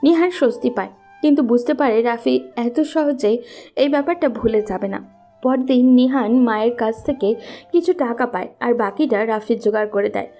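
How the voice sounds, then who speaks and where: A woman's voice: fairly high pitch, normal speed, dark tone, soft background music. A woman narrating a scripted story as its storyteller.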